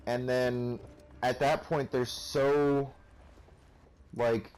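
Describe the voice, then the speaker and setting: A man's voice: medium pitch, little pitch movement, very slow, a little mellow, clean tone. A young man giving a sarcastic, profane rant while commenting on gameplay, recorded on a cheap, poor-quality microphone. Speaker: let's play commentator.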